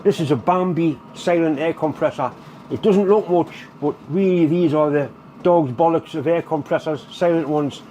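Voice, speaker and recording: A man's voice: a little high, normal speed, dark tone, a little hiss or hum. A man, hosting a casual workshop vlog while full of a cold. He talks in a plain, blunt, chatty way. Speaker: workshop channel host. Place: home workshop.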